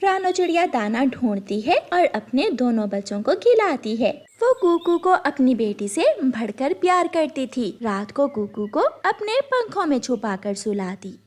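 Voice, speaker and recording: High-pitched woman narrating a children's story. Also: big pitch swings, measured pace, hardly any pauses, darkish tone.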